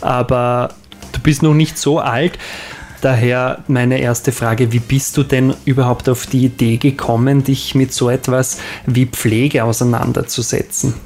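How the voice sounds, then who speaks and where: A man hosting a radio interview, asking slow, halting questions with small fillers. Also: mid-range voice, little pitch movement, darkish tone, soft background music. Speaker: radio host.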